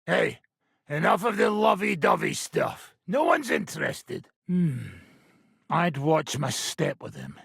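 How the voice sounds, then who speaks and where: An actor playing a coarse, combative medieval mercenary commander in a video game, with a gravelly, raspy voice and a blunt, profane, gruff manner. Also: male voice, a little high, expressive, slow pace, a bit bright, no echo, studio quality, exaggerated voice. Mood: mocking. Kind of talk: video game character acting.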